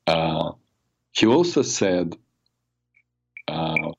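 An older man hosting a radio talk show, raspy from a cold, telling personal stories in a rambling way with many fillers. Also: a bass voice, big pitch swings, extremely slow, dull audio, slight background noise. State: has a cold. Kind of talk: solo talk show monologue.

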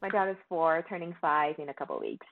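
Low-voiced woman on a tinny line. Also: quick pace, crowd noise. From Malaysia. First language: Mandarin Chinese. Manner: chatty, frequent laughs and fillers. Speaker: therapist guest. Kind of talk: casual interview conversation. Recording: dry, tinny phone-like line.

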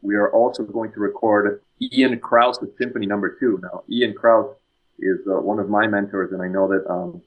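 Guest: young man from Mexico, lowish voice, eager and talkative, stumbles and repeats words. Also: steady tone, moderate pace, phone-quality sound. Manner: enthusiastic, frequent restarts and repeated words. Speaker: guest composer and guitarist. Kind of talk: casual podcast chat.

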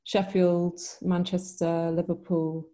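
Yorkshire woman guest, London-tinged northern accent, low warm voice, relaxed and thoughtful. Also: slow pace. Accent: Yorkshire with some London. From Yorkshire, England. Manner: relaxed, thoughtful, many ums. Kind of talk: casual interview chat.

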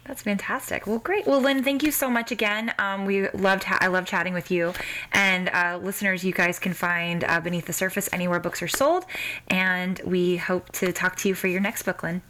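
A woman's voice: fairly low pitch, fairly even intonation, moderate pace, pushed and bright, a little hiss or hum. A woman hosting a podcast in a casual, chatty and effusive style. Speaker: podcast host.

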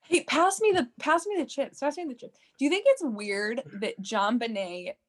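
A woman's voice: high voice, moderate pace. A woman playing a nosy, gossipy teenage girl at a sleepover in an improvised comedy sketch.

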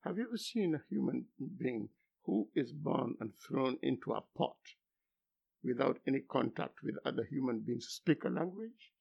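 An older man, a philosophy professor whose first language is Luo, speaking haltingly in an interview, then pressing with emphatic rhetorical questions. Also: medium pitch, lively intonation, creaky. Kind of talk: academic interview. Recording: dull lo-fi recording.

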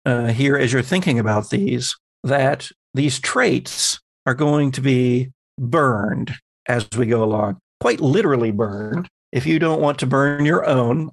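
A man acting as game master, explaining tabletop game rules in a measured, conversational, lightly playful way. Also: mid-range voice, vocal fry, no room sound.

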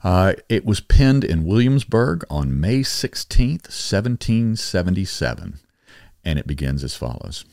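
Very deep-voiced man narrating history. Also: sing-song, unhurried, vocal fry, darkish tone, dry recording, clean studio sound. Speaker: host and reader of a history series. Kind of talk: scripted narration with explanatory asides.

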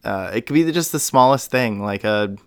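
A man's voice: medium pitch, animated, normal speed, smooth, no echo, studio quality. A man hosting a podcast, asking rambling questions with many fillers. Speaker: podcast host. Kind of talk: casual interview chat.

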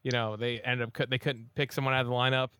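A man hosting a casual game review podcast, talking in a relaxed, rambling way with lots of fillers. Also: mid-range voice, fast talker, smooth, dry recording, clean studio sound. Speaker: podcast host.

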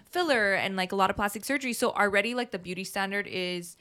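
Smooth-voiced young woman co-host. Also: mid-range voice, fast, sharp tone. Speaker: podcast co-host. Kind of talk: casual chat.

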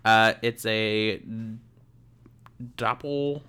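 A man's voice: on the low side, steady tone, very slow, bright, forceful tone, smooth. A man co-hosting a casual podcast, playful and joking, tripping over words. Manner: playful, laughs often, stumbles on words. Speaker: podcast co-host. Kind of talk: casual chat.

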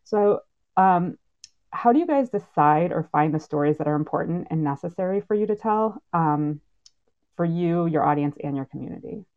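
A woman's voice: deep voice, animated, normal speed. A woman casually moderating a panel, speaking unscripted as she passes questions to the guests. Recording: slightly dull, muffled sound.

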